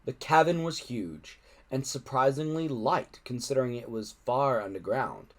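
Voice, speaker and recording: A man reading a children's adventure book aloud in an expressive narrating style. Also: medium pitch, moderate pace. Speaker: audiobook-style reader.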